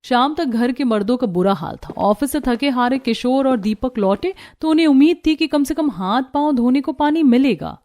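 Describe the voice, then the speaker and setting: A woman's voice: high-pitched, animated, moderate pace, few pauses, a little mellow. A woman narrating a scripted Hindi cartoon story as its storyteller.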